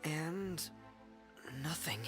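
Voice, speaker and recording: A man's voice: fairly high pitch, expressive, very slow, music in the background. A man playing a shy, withdrawn, apologetic young orphan, hesitant and subdued, in an anime video game.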